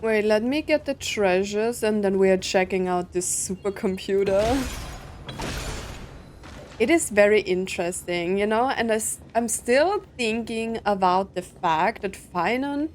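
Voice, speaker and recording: A woman's voice: mid-range voice, a little slow, darkish tone, a little hiss or hum. A woman doing casual let's-play commentary, musing aloud in a conversational way as she plays. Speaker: gaming content creator.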